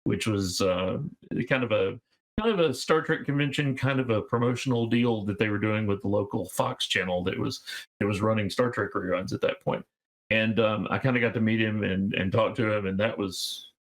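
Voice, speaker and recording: A man's voice: on the low side, brisk. A man of fifty from Georgia, chatting casually as an interview guest, rambling with fillers and restarts. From Georgia, USA. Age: 50s. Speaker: guest game designer.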